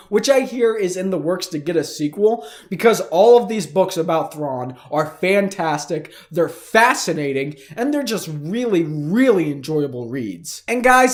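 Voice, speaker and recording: A man with a hoarse, fading voice after the flu, hosting a weekly fan news show in a casual, eager way and talking straight to his viewers. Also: a little high. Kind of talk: casual news commentary to camera.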